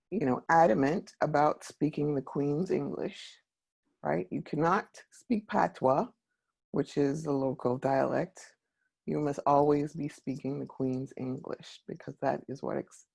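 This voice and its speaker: Woman, from Jamaica, panelist, measured and reflective, unhurried, gravelly. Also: sits low, darkish tone. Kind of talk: panel discussion.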